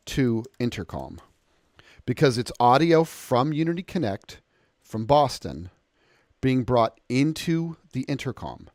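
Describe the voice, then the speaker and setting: An American man presenting a software tutorial in an off-the-cuff, conversational and explanatory way. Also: medium pitch, no echo, studio quality. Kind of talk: informal off-the-cuff software walkthrough. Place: indoors, close dry voice-over of a screen recording.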